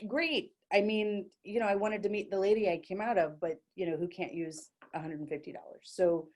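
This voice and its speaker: A woman's voice: mid-range voice, fairly even intonation, brisk, darkish tone. A middle-aged woman from Dallas telling a funny personal story on stage, chatty and self-mocking, full of likes and restarts. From Dallas, Texas. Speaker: solo performer. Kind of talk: comic storytelling monologue.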